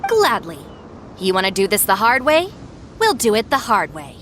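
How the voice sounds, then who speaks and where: A woman's voice: medium pitch, huge pitch swings, moderate pace, fairly bright tone, a little hiss or hum. A woman playing a sensible, stern young gang deputy in an anime game, speaking in a firm, no-nonsense way. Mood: challenging.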